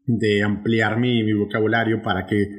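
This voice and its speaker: A man's voice: fairly low pitch, little pitch movement, normal speed. A young man with a northern Mexican accent, co-hosting a podcast in a casual, rambling way with many restarts. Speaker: podcast co-host. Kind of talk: casual conversation.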